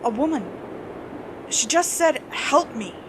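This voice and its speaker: A woman's voice: sits high, lively intonation, slow, noisy background, pitched above the performer's own voice. A woman acting a reluctant, sarcastic, spooked young ghost hunter in a horror story, exasperated in manner. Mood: alarmed.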